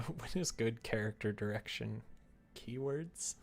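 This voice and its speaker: A man's voice: medium pitch, a little slow, creaky, faint music behind. A man streaming casually, talking to himself in a giggly, playful way as he works. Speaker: streamer working on a visual novel. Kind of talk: casual livestream chat, thinking aloud while working.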